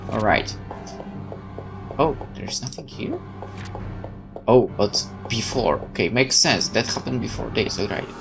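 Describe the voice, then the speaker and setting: A man with a very deep voice and animated pitch reads a game's story aloud theatrically. He mixes in casual let's-play remarks, with faint music behind him. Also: a little slow, creaky, long pauses. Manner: expressive, theatrical. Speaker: let's player. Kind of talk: dramatic read-aloud with casual asides.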